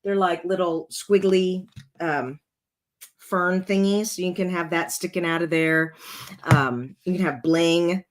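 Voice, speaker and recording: A woman with a rough, congested voice hosting a casual card-making tutorial, chatting her way through each step as she works. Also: on the low side, fairly even intonation, unhurried.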